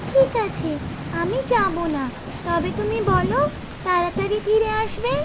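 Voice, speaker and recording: A woman's voice: extremely high pitch, a little slow, noisy background. A woman voicing an eager little village girl in a cartoon, childlike and coaxing. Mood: obedient. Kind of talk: Bengali cartoon voice acting.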